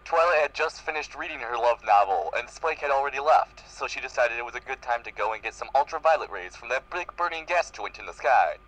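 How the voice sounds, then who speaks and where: A man's voice: medium pitch, expressive, brisk, hardly any pauses, fairly bright tone, low-quality recording. A man reading fan fiction aloud in a live group reading. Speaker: reader in a group comedy reading.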